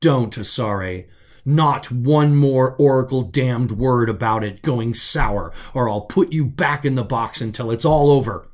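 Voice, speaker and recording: A man's voice: medium pitch, unhurried, a little mellow, lightly acted. A stern cyborg second-in-command acted by a man speaks in a science fiction audiobook. He is an impatient male officer with a worried, threatening edge. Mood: stern threat. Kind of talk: audiobook character dialogue.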